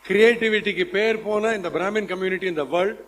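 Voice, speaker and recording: A man's voice: high voice, steady tone, moderate pace, fairly bright tone. A man speaking in an emphatic, declarative style.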